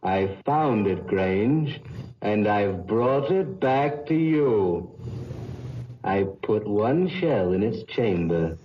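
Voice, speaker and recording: A man playing the vengeful ghost of a murdered man in an old radio drama, taunting with menacing calm. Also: on the low side, extremely slow, a little hiss or hum. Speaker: Philip Blake, playwright. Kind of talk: 1940s radio acting. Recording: lo-fi old radio recording with music.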